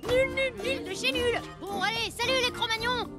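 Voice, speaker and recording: A woman voicing an eager, impulsive young cave boy in a French children's cartoon, with expressive, exclamatory childlike delivery. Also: very high voice, moderate pace. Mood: cocky. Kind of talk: French animated children's series dubbing. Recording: loud music behind the voice.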